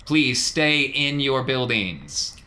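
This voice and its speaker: A man's voice: mid-range voice, sing-song, takes it slowly, bright, forceful tone, pitched above the performer's own voice, heavily acted. A man voicing a stern, formal Imperial announcer issuing orders in a sci-fi tabletop game. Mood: stern, official. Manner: formal, authoritarian. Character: stern Imperial town loudspeaker announcer declaring martial law.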